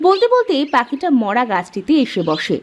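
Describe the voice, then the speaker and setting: A woman's voice: fairly high pitch, huge pitch swings, moderate pace, a little hiss or hum. A woman reading scripted narration for a children's cartoon story.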